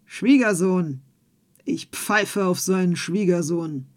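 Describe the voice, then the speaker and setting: A woman voicing a stubborn old male farmer in a fairy tale, loud and blustering. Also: on the low side, slow pace.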